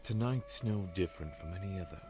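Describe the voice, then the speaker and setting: A haunted, brooding man recounts his dreams in a low, deep voice performed by a male actor. The delivery is solemn first-person audio drama narration, with music clearly playing behind it. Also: a little fast, natural voice.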